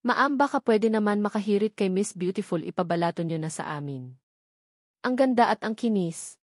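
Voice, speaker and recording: A woman's voice: mid-range voice, animated, normal speed, dry recording, clean studio sound, close to an everyday voice. A woman voicing a lecherous male henchman in a story reading, wheedling his boss. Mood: leering.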